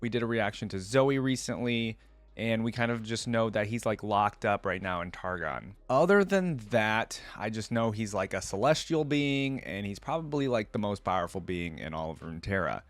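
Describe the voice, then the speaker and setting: Male reaction host, lower register, dry recording, casual asides between read-aloud passages. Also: expressive, moderate pace, dry recording. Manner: informal, rambling asides. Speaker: host of a game lore reaction channel. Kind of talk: casual reaction chat mixed with reading aloud.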